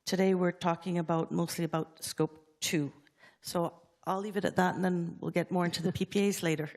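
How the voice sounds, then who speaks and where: Panelist, a woman with a lowish voice who mixes into hesitant French. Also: steady tone, a little mellow. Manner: halting French mixed with English words. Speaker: conference panelist. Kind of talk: conference panel talk.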